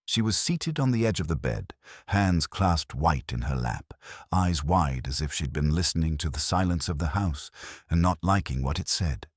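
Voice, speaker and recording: A man's voice: very deep voice, lively intonation, normal speed, breathy edge, no echo, studio quality. A man reading a first-person detective story as an audiobook narrator.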